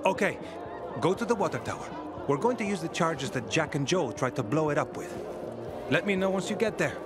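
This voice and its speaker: An actor playing a loyal, protective grown son in a video game. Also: male voice, on the high side, animated, fairly quick, over music, close to an everyday voice. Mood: businesslike. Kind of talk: video game character acting.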